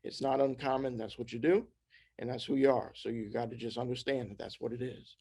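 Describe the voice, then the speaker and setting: A man's voice: medium pitch, fairly even intonation, a little fast, darkish tone, slightly rough. A man voicing blunt talking redwood trees. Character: wise old redwood trees, blunt and fatherly.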